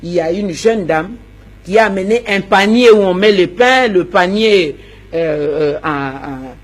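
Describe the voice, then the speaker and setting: Husky-voiced politician, a woman, forceful and repetitive, stumbles on words. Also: lowish voice, unhurried. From Democratic Republic of the Congo. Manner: emphatic, repeats words, stammers while searching. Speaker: opposition politician. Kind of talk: interview answers.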